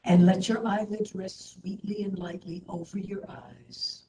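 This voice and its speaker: A woman instructor leading a guided meditation in a soothing, gentle manner, with hushed phrase endings. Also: medium pitch, steady tone, unhurried. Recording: roomy echo, faint background noise.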